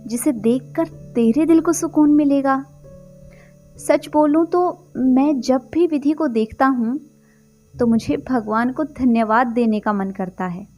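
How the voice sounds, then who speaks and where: A woman's voice: high voice, dark tone. A woman storyteller voicing a devoted young man soon to marry, speaking to his friend in a sweet, earnest manner.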